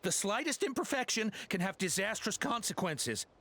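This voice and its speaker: High-voiced nervous henchman. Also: sing-song, normal speed, fairly bright tone, full character voice. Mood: anxious explaining. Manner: stammering, pleading. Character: nervous male henchman confessing under pressure. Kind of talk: video game dialogue acting.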